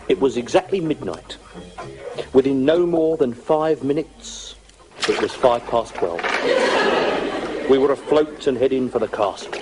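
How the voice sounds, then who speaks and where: A man's voice: mid-range voice, close to an everyday voice. A man playing a dim, self-important police inspector, earnest and tetchy, in a radio comedy. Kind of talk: 1980s British radio comedy acting and narration. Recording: noisy, clicky old radio recording.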